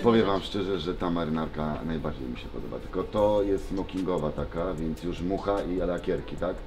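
A man's voice: low-pitched, normal speed, over music. A man, chatting casually to the camera in a vlog. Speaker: vlog subject, MMA fighter. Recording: noisy on-location vlog audio.

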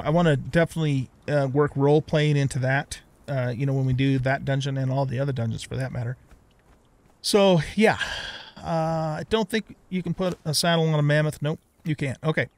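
A middle-aged man giving casual gameplay commentary, rambling and thinking aloud as he hosts a let's play. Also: on the high side.

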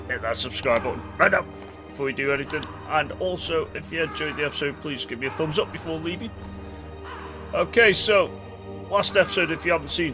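A man hosting a gaming channel, chatting and exclaiming as he comments on his gameplay. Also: fairly high pitch. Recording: game music behind voice.

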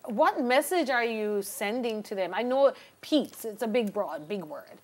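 Female TV interviewer, Jamaican accent, fairly high voice, emphatic, probing delivery. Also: lively intonation, a little slow. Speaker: television morning show host. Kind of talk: studio interview. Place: television studio. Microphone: lapel.

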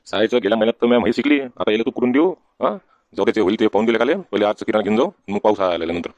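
A man's voice: fairly low pitch, very fast, a little mellow, dry recording, dull audio, natural voice. A man voicing a wily, wheedling village debtor in a comic cartoon, with a rural Varhadi Marathi accent and questioning grunts tacked onto sentences. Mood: scheming. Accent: rural Maharashtra, Varhadi. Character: wily village husband, evasive debtor sweet-talking the shopkeeper.

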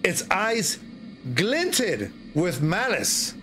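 Middle-aged male horror storyteller: higher-pitched, theatrical, halting, lively intonation. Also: faint music behind. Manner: theatrical, halting delivery with repeated phrases. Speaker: streamer, horror story reader. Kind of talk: dramatic storytelling read aloud.

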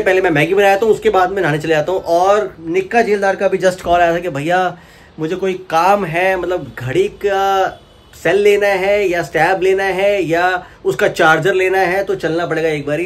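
A young man vlogging in a casual, chatty way, talking straight to his viewers, with a sore throat that leaves him a little unwell. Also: fairly high pitch. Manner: chatty, addresses viewers directly, mixes English words in. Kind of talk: casual vlog chat to viewers. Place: home and hotel, indoors.

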